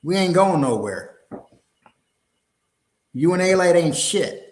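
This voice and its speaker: A man's voice: fairly high pitch, big pitch swings, slow pace. A man hosting a casual livestream, talking in a blunt, combative, streetwise way. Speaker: show host. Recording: roomy, echoey sound.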